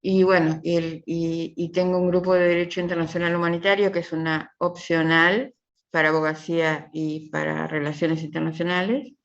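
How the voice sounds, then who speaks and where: A middle-aged Uruguayan woman teaches a class in a fairly low voice. She talks in a chatty, meandering way, often circling back on her phrases. The recording is muffled and low in fidelity. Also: level tone, moderate pace. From Uruguay, from Tacuarembó. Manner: chatty, rambling, repeats phrases. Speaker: university professor. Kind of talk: informal online class introduction.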